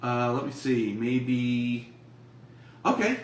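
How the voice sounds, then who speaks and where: A man's voice: medium pitch, lively intonation, takes it slowly, very noisy. A man improvising narration as a tabletop game master, speaking casually and swearing freely. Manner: casual, profane. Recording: roomy, distant mics, music underneath.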